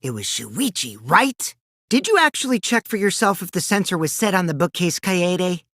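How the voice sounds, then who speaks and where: Game role: mischievous teenage boy, taunting, theatrical liar, wildly lively pitch, dry close sound. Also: moderate pace, no echo, exaggerated voice. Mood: sly, accusing. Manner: theatrical, teasing, swings from sly to gleeful. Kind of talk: animated video game voice acting.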